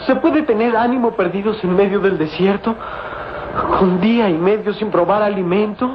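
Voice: medium pitch, normal speed, lightly acted. A performer playing an anxious, devoted young boy, pleading theatrically in an old radio serial. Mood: despairing, pleading. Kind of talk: old Spanish-language radio serial acting. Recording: noisy, dull old radio recording.

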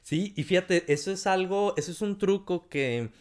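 A man with a Mexican accent in a casual film chat, animated, often quoting people in a raised voice. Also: high-pitched, normal speed. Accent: Mexican Spanish.

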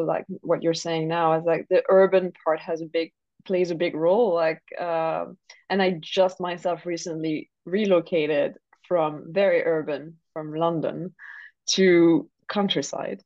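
Lowish-voiced woman hosting, slightly dull sound. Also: a little mellow. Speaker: podcast host. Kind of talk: casual conversational interviewing. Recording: slightly dull, lo-fi close recording.

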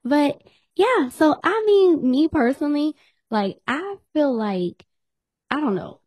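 Podcast host, woman, hoarse and losing her voice, higher-pitched, teasing chat in a dry room. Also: expressive, slow, a little mellow, no echo. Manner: chatty, laughs often, teasing. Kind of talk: casual chat.

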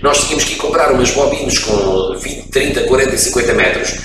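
A man's voice: medium pitch, lively intonation, fairly quick. A middle-aged man from France who speaks with a European Portuguese accent. He is a radio broadcaster telling stories as an interview guest, with frequent hesitations. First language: French. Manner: expansive storyteller, frequent hesitations. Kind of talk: casual interview, reminiscing. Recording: echoey room, distant mic, background noise.

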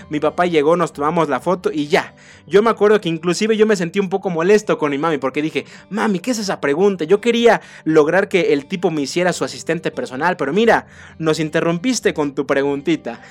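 Mexican guy doing a motivational podcast, lots of questions to you, quiet guitar in back. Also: fairly high pitch. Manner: earnest, addresses listener directly with rhetorical questions. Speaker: podcast host.

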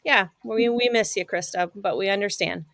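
A woman's voice: mid-range voice, normal speed, fairly bright tone, no echo. Host: woman, mid-range voice, dry close sound, easygoing and talkative. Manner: chatty, friendly interviewer. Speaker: show host. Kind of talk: casual live-stream chat.

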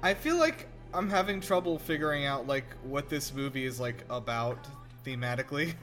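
A man's voice: medium pitch, animated, normal speed, fairly bright tone, soft background music. A man chatting casually as a co-host reacting to a film, jokey and amused, swearing freely. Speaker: podcast co-host. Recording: film soundtrack playing behind.

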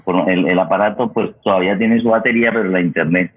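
A man's voice: deep voice, fast talker, breathy edge. A man with a Colombian accent hosting a programme off the cuff, often repeating words and restarting his sentences. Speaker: host of an online radio programme. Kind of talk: unscripted hosting talk. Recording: tinny, phone-like internet connection.

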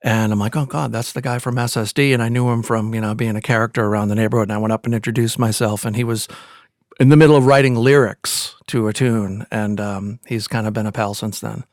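A man's voice: lowish voice, fairly even intonation, quick pace, darkish tone, dry recording, clean studio sound. A man from Boston, a musician guest in an interview, friendly and talkative. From Boston, USA. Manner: friendly, talkative, easy laugh. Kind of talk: interview conversation.

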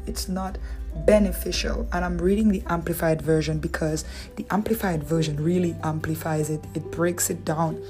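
Dominican woman, lowish voice, chatty Bible teacher, soft music bed. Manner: conversational, asks rhetorical questions, playful asides. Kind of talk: relaxed devotional teaching.

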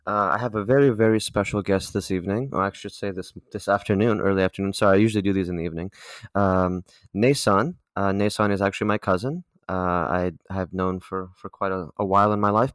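A man hosts a relaxed interview show, welcoming his guest in a friendly, joking way. His voice sits on the low side. The recording is close and dry. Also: fast talker, clean tone, dry recording. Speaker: podcast host. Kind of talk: casual interview hosting.

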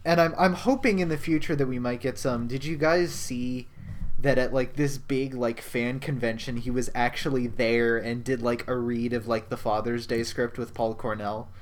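Male co-host, mid-pitched, loose rambling delivery with casual profanity. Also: steady tone, moderate pace. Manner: rambling, swears freely, frequent fillers. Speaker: podcast co-host. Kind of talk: casual chat.

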